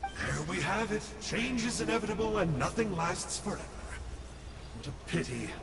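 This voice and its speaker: A man's voice: high voice, lively intonation, moderate pace, vocal fry, long reverb tail, recorded from a distance. An actor playing a sly, theatrical male Abyss scholar who talks in a glib, mocking way.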